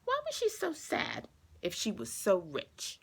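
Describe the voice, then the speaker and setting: Lively storybook woman with a creaky edge. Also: fairly high pitch, unhurried. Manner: expressive, clearly paced storytelling for young listeners. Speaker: children's story reader. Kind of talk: read-aloud children's storybook narration.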